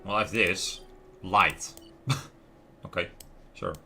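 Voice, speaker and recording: Man, low-pitched, bright tone, casual livestream game commentary, musing and self-questioning, background music. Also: steady tone, slow, vocal fry. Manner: musing aloud, trailing off and questioning himself. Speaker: livestream host playing a video game. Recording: music playing behind the voice. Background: lo-fi music, keyboard typing and clicks.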